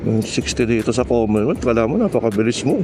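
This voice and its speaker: A man's voice: mid-range voice, lively intonation, fast talker, noisy background. A Filipino man vlogging on a motorcycle, talking in a casual, joking, slangy way and mixing in English. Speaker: motovlogger. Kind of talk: casual chat while riding a motorcycle. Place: outdoors on a motorcycle in city traffic.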